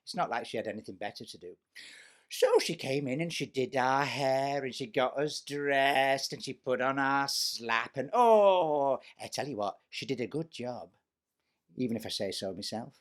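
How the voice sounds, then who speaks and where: A man's voice: medium pitch, expressive, moderate pace, lightly acted. A man voicing a vain, bossy, spiteful stepsister in a chatty, self-satisfied comic pantomime monologue.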